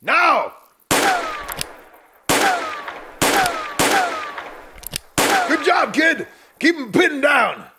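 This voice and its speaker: Gravelly, swooping Old West sheriff. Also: male voice, extremely high pitch, very animated, slow pace, heavy background noise, exaggerated voice. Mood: barking orders. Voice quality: raspy, gravelly. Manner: barking orders, exasperated. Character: gruff, weary Old West sheriff commanding a gunfight. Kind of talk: western audio drama acting.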